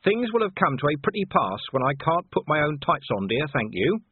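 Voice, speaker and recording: A man's voice: a little high, sing-song, brisk, slightly rough, no echo, narrow, tinny audio, voice pushed up, full character voice. A man voicing a jumpy, grumbling city watch commander in an audiobook, blunt and exasperated. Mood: grumbling, reluctant.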